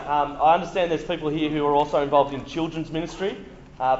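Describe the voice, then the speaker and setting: A man with a croaky voice, leading an interactive workshop talk before a live audience in a room. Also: a little high, a little mellow, a little hiss or hum. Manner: chatty, upbeat, quizzes the audience. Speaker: workshop presenter on youth ministry. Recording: roomy, muffled room recording.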